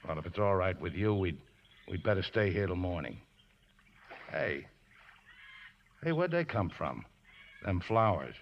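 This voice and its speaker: An actor playing a scrappy, good-hearted riverboat skipper with folksy, ungrammatical speech in a 1950s radio drama. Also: male voice, deep voice, sing-song, a little slow, gravelly, long pauses, full character voice. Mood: gentle, weary. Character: scrappy, rough-mannered male riverboat skipper, plain-spoken, good-hearted. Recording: muffled, noisy, reverberant old radio recording.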